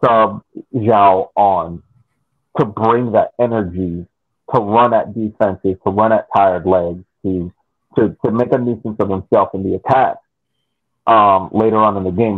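Male podcast panelist, explanatory and informal, raspy edge, narrow phone-band call audio. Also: on the low side. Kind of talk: casual soccer analysis on a podcast. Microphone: video call.

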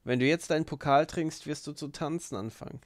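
A man voicing a mocking, spiteful, boastful young man in an audiobook, loud and needling. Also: fairly high pitch, brisk, no room sound, clean studio sound, pitched above the performer's own voice, lightly acted. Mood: irritated, loud.

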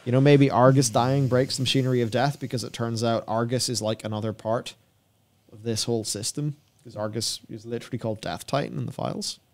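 A man's voice: medium pitch, fairly even intonation, brisk, darkish tone. A young man with a mid-range voice co-hosts a gaming show. He reads from notes and drops into casual, off-the-cuff commentary, speaking close on a studio microphone. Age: young adult. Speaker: co-host of a gaming news show. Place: small indoor studio with acoustic foam panels. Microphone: broadcast mic on a boom arm, close.

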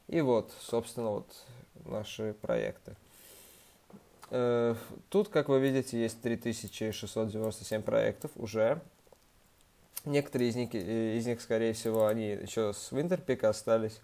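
A young man talks through a screen-recorded tutorial in a relaxed, chatty way, full of fillers and restarts. His voice is mellow and mid-pitched, and he sounds a little under the weather. He speaks into a computer mic. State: slightly ill, voice a bit off. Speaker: student organization member giving a tutorial.

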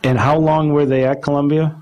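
A man's voice: a little high, little pitch movement, fairly quick, darkish tone. A man interviewing a guest for a radio club, asking short prompting questions.

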